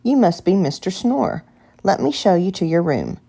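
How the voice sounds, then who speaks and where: Woman voicing a courteous, animated storybook bellhop. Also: on the low side, moderate pace, gravelly, heavily acted. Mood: welcoming. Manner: courteous, obliging. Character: polite, helpful male hotel bellhop, mildly apologetic. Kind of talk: children's picture book character voice.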